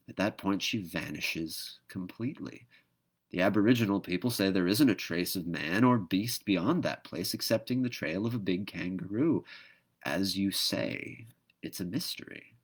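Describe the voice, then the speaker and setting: Bushman father for a storybook, read by a man: deep, heavy, sorrowful, resolute. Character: big grieving bushman father, determined.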